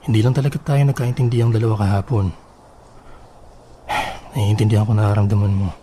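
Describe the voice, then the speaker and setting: An actor playing a patient, apologetic boyfriend in a Filipino radio drama, speaking gently and reassuringly. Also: male voice, on the low side, little pitch movement, a little fast, noisy background, natural voice. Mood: soothing, apologetic. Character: soothing adult live-in boyfriend, patient and apologetic. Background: background music.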